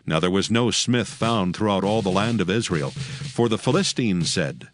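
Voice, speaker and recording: A man's voice: fairly low pitch, animated, moderate pace. A man narrating scripture in a solemn, scripted, dramatic storytelling style. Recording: music under the narration.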